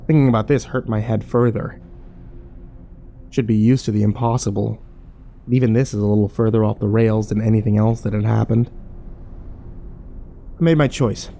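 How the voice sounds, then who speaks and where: A man's voice: fairly low pitch, steady tone, moderate pace, vocal fry, long pauses, dark tone, a little hiss or hum. A man playing a troubled, wary survivor who narrates his ordeal in a brooding first-person horror drama.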